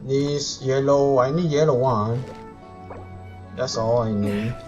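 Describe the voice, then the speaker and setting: A man's voice: mid-range voice, slow pace, mellow, muffled recording. A man doing casual live game commentary, thinking aloud and muttering to himself as he plays. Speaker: video game live streamer. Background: game music.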